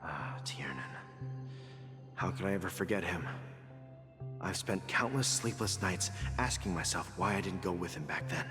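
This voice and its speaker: A man playing a kindly, determined old watchmaker and adventurer in a game, reassuring and unhurried. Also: sits low, natural voice. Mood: reassuring, resolute. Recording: game audio, long reverb, loud music.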